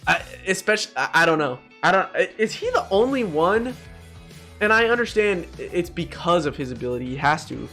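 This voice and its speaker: A fairly high-voiced man hosts a casual gaming ranking video, with faint music behind him. He talks bluntly and loosely in bro-heavy slang, giving his opinions straight to the viewers. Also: sing-song, a little fast, bright, forceful tone.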